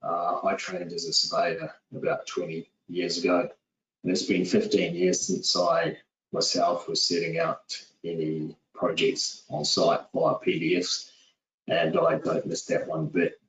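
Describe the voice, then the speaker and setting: A man in his forties with a New Zealand accent, giving a steady, businesslike webinar presentation with frequent "uh"s over a call. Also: low-pitched, a little slow, gravelly, a little hiss or hum. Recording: echoey, distant, dull lo-fi audio.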